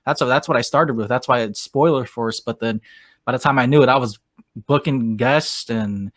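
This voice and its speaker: A man's voice: mid-range voice, normal speed, no room sound, studio quality. A man hosting a podcast, chatting with his guest in a laid-back, casual way and often saying "man.". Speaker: podcast host. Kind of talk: casual interview chat.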